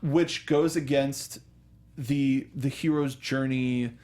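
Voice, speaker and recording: A man with a mid-pitched voice hosts a relaxed entertainment discussion. He talks conversationally, wandering into asides and acting out imagined lines as he explains his point. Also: takes it slowly. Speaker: talk show host. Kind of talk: casual film and video game discussion.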